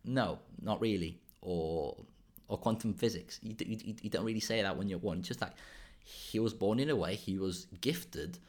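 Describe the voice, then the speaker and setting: A man's voice: fairly low pitch, normal speed, darkish tone. A man from southern Spain hosting a solo podcast, talking casually with many restarts and frequent tag questions. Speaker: podcast host.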